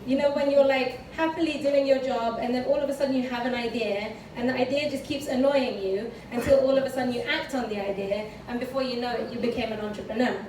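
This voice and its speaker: A woman's voice: fairly high pitch, steady tone, quick pace, few pauses, darkish tone. A woman with a British accent giving a candid personal talk with slides, chatty and full of fillers. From United Kingdom. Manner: chatty and candid, frequent fillers, light laughs. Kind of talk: conference talk, personal story. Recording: echoey room, distant mic, background noise.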